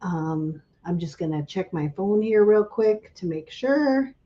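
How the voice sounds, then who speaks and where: A woman hosting a casual livestream for her craft business, slightly raspy from a cold and greeting viewers by name. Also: medium pitch, animated, measured pace, dark tone, muffled recording.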